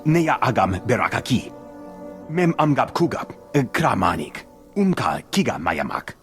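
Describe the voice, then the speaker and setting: An actor voicing a male alien in a video game, speaking an invented tongue in clipped, rough bursts. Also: medium pitch, sing-song, a little slow, fairly bright tone, faint music behind. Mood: businesslike. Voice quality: husky, rough.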